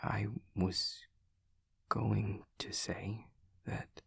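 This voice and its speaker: A man playing a husky, deep, awkward demon classmate. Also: flat delivery, no room sound, whispered, full character voice. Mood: awkward, gentle. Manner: stilted, formal, halting and hesitant. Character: awkward, formal, caring young male demon classmate. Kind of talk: intimate audio roleplay.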